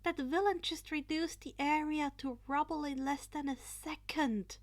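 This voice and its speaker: A woman's voice: sits high, fairly even intonation, measured pace, no room sound, pitched above the performer's own voice. A woman voicing a worried, polite and practical teenage girl hero in a story reading, with a stressed, questioning manner.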